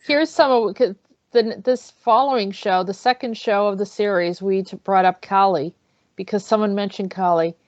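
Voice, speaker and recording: A woman's voice: mid-range voice, moderate pace, no echo. Dry, slightly muffled female host. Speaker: online show host. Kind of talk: casual conversational hosting. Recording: dry, slightly dull audio.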